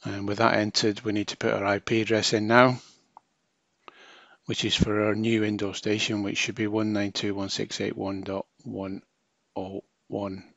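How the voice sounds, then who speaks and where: A man's voice: fairly low pitch, level tone, normal speed, vocal fry, fairly bright tone, no room sound, muffled recording. Man giving a dry-sounding technical walkthrough. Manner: informal, step-by-step explaining. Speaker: technical trainer. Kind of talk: hands-on tutorial narration.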